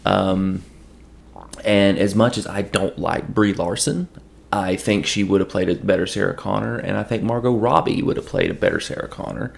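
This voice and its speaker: A man whose voice is hoarse and gravelly, with a lot of fry in it, sitting low in pitch. He reviews a film in loose, rambling casual talk, often stopping and starting over mid-sentence. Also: animated, normal speed, a little hiss or hum. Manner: rambling, with frequent restarts. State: hoarse from allergies. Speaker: podcast host.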